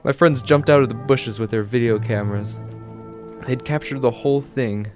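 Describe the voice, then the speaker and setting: An actor playing a remorseful teenage high school boy in an audio drama, earnest and halting in his delivery. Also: soft background music, close to an everyday voice. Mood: ashamed. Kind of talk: scripted audio drama acting.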